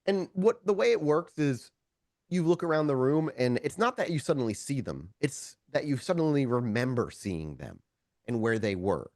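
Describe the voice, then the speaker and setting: A man's voice: medium pitch, very animated, moderate pace, a little mellow, no echo, studio quality. A man narrating a tabletop roleplaying game as game master, conversational and informal, with descriptive storytelling.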